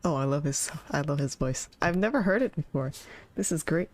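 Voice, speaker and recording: A woman's voice: low voice, animated, a little fast, darkish tone, soft background music. A woman streaming a game with casual, chatty, teasing commentary.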